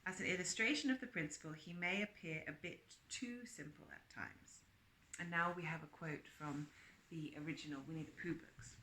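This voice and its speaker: Bright-voiced woman reading aloud, roomy sound. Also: sits low, normal speed, slight background noise. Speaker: reader and presenter of book extracts. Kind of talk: read-aloud with chatty asides and character quotes.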